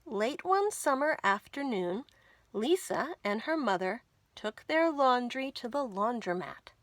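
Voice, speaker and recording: A woman hosting a children's storytime, reading picture books aloud in an expressive, sing-song way. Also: on the high side, measured pace, dry recording. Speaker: children's library storytime host. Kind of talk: animated picture-book read-aloud for young children.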